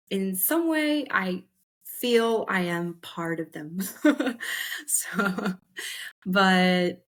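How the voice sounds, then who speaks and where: A young woman from Italy answers podcast questions in a slightly low voice. She thinks aloud, pausing and filling gaps as she explains herself. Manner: thoughtful, hesitant, with fillers. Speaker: podcast guest. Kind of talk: casual interview.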